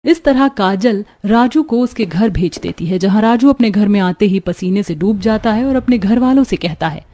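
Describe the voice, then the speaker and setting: A woman's voice: mid-range voice, lively intonation, normal speed, dark tone. A woman reading scripted narration for a cartoon story. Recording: music behind the voice. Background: background music.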